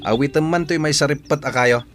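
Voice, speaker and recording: A man's voice: a little high, brisk, faint music behind, natural voice. A man acting as a teasing, blustery village man in an Ilocano-accented comedy radio drama, needling and exclaiming. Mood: teasing, grumbling.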